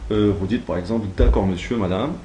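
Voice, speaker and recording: Low, dark-voiced male trainer explaining steps. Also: quick pace, slight background noise. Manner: didactic, step-by-step, checks understanding. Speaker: trainer teaching call-centre phone technique. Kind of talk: instructional course explanation, semi-improvised.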